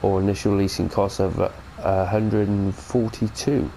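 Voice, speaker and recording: A man's voice: fairly low pitch, little pitch movement, moderate pace, gravelly, dark tone, a little hiss or hum, rough recording. A British man with a cold, giving a chatty, rambling mod review of a farming game and correcting himself as he goes. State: has a cold. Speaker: gaming channel host. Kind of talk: casual mod review commentary.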